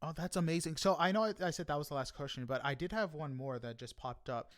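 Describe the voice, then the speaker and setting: A man's voice: fairly high pitch, fast talker, dry recording. A man interviewing a guest in a casual chat, feeling his way through his questions appreciatively. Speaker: podcast interviewer.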